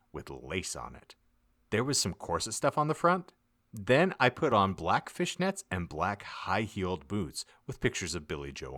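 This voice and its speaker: A man reading a story aloud as a podcast host, in a theatrical, deliberate comic audiobook style. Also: low voice, bright, forceful tone, no echo, studio quality. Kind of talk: dramatic comic audiobook narration.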